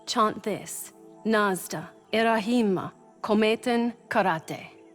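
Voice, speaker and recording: A woman's voice: medium pitch, takes it slowly, soft background music, natural voice. A woman voicing a determined female spellcaster in a fantasy game, firm and commanding. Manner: firm, instructing.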